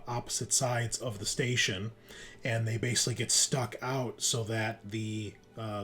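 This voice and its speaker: A man narrating a tabletop roleplaying game as game master, explaining things conversationally with pauses mid-sentence. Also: fairly low pitch, fairly bright tone. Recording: music behind the voice. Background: background music.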